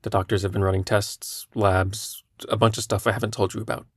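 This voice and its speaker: A man playing a terminally ill young patient in an intimate audio drama, speaking in a husky, creaky voice with a halting, understated manner. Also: fairly low pitch, flat delivery, no echo, clean studio sound. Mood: hesitant, subdued. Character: young terminally ill male hospital patient, numb, gently self-deprecating.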